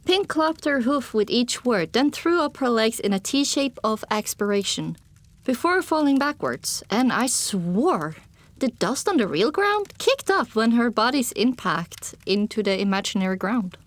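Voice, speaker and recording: A woman reading fiction as an audiobook narrator, in an expressive first-person storytelling style. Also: on the high side, unhurried.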